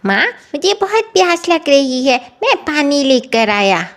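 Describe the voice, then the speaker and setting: A woman's voice: high voice, big pitch swings, a little slow, a bit bright, full character voice. A woman voicing an earnest, anxious young village boy with childlike, plaintive delivery in a moral story cartoon. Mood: eager.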